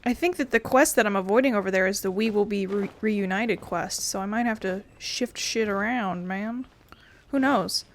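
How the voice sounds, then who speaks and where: A woman's voice: mid-range voice, normal speed. A woman doing a casual let's play commentary as a game streamer, rambling and slangy with casual swearing. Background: faint game music.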